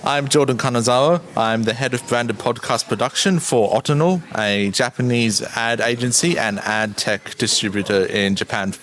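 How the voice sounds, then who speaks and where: A man from Australia, a guest giving clear, informative answers in a conference interview. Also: medium pitch, normal speed, hardly any pauses, fairly bright tone. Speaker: interview guest, podcast producer. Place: busy conference venue. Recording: noisy crowd around the mic. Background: crowd chatter.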